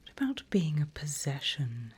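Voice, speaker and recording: A woman playing a seasoned demon guide in an ASMR roleplay, teasing, sinister and silkily condescending, in a breathy, drawling whisper. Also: low voice, very animated, a little mellow, full character voice. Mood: silky, sly. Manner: slow, drawling, teasing, mock-sweet.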